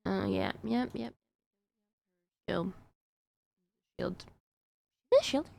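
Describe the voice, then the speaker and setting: Slow-talking gravelly woman streamer. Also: mid-range voice, lively intonation, a little mellow. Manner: chatty, answers chat, frequent laughs and fillers. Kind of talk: casual gaming stream chat.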